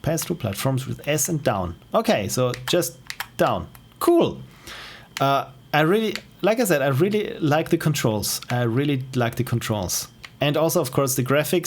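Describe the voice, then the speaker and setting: A man's voice: a little high, slight background noise. A man streaming and playing indie games, reading the game text aloud and eagerly repeating his praise.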